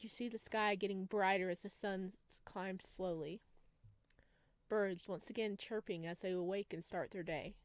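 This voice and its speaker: A woman's voice: mid-range voice, steady tone, moderate pace, no echo. A woman doing a let's play, reading visual novel narration aloud and dropping in chatty, casual asides. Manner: chatty asides, reacts to the story. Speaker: video game streamer.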